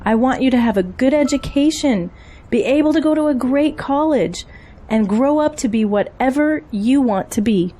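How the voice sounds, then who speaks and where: A woman's voice: high-pitched, animated, moderate pace, warm tone. A woman acting in an audio drama as a busy, earnest political campaign manager and single mother. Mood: earnest, pleading.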